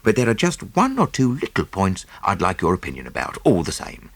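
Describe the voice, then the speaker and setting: A man's voice: low voice, wildly swooping pitch, brisk, no echo, full character voice. Man acting genial, swooping police inspector. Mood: businesslike. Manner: soothing, jovial, matter-of-fact. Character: brisk, genial, teasing male Scotland Yard police inspector. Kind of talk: audiobook character acting.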